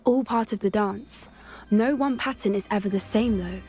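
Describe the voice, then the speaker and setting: An actress playing an earnest young female student who loves magical creatures, speaking in a soft, thoughtful, sometimes hesitant way in video game dialogue. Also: medium pitch, moderate pace, faint music behind, close to an everyday voice. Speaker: game character.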